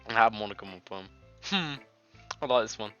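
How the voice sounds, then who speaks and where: A man's voice: lowish voice, expressive, brisk, bright, forceful tone. A man with a cold doing a casual, jokey let's-play, reading visual novel dialogue aloud with his own asides. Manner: jokey, giggly, informal. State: congested with a nasty cold, coughing. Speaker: video game let's-player. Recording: dull lo-fi mic with music behind. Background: game music.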